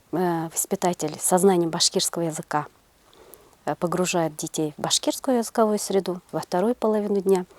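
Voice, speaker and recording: A middle-aged woman answering interview questions in a measured, halting way, searching for her words as a teacher. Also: fairly low pitch, darkish tone. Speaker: interview guest, senior kindergarten teacher.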